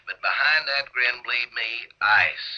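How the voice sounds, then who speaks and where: An American actor playing a disgruntled small-town police chief in a 1950s radio drama, speaking in a folksy, wry way. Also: male voice, mid-range voice, a little slow, dull audio, close to an everyday voice. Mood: bitter. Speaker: actor narrating in character. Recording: band-limited old 1950s radio recording.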